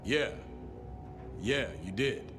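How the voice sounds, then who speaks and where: An actor playing a touchy, sardonic veteran police officer in a video game, bantering bluntly, with long reverb and music around the voice. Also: male voice, medium pitch, very slow. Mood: dry, irritated. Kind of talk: video game dialogue acting.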